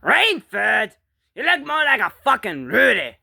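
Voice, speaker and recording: A performer voicing a bossy, foul-mouthed bully character in an improvised comedic skit, brash, scolding and given to yelling. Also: mid-range voice, big pitch swings, a little slow, creaky, no echo, clean studio sound, exaggerated voice. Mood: indignant.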